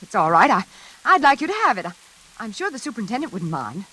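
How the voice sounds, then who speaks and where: A woman playing a nervous, frightened elevator passenger in a classic radio suspense drama, speaking in halting, pleading phrases. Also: mid-range voice, very animated, sharp tone, husky, heavily acted. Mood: uneasy politeness. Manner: halting, anxious, pleading, repeating herself. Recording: hissy, noisy old radio recording.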